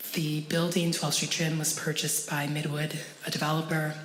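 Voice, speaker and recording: A woman speaking slowly and steadily about her late wife, with a subdued, hesitant delivery. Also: low voice. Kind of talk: slow, measured testimony. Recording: echoey room with long reverb, faint noise.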